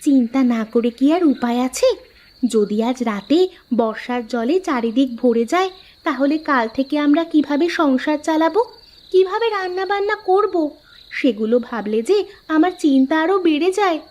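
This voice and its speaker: A woman's voice: sits high, animated, moderate pace, a little mellow, dull audio. A woman voicing an anxious, gloomy sister who frets and laments in a scripted cartoon story. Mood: worried. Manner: worried, lamenting, complaining. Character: anxious, gloomy sister fretting over the household.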